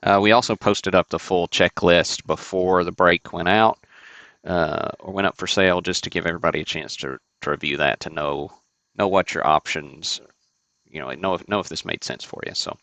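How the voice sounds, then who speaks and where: A man's voice: low-pitched, moderate pace, fairly bright tone, no echo. A man hosting a sports card break livestream, chatting casually and thinking aloud with fillers and restarts.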